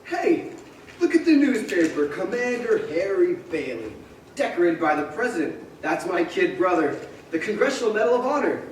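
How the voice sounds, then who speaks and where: A man's voice: high-pitched, very animated, normal speed, slight background noise. Guy acting frantic family man, voice jumps all over, radio play, far off and echoey. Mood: proud, excited. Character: earnest, frantic, hot-tempered family man. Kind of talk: old-time radio play acting on stage. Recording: distant echoey stage recording with music.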